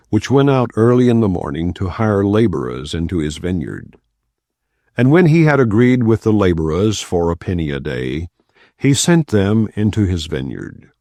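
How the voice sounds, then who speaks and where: A man reads scripture in a plain, measured way and slows for the weighty phrases. His voice sits very low and sounds dark and mellow, yet it rises and falls a great deal. The recording is dry and close. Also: dry recording. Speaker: Bible reader. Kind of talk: non-dramatized scripture reading.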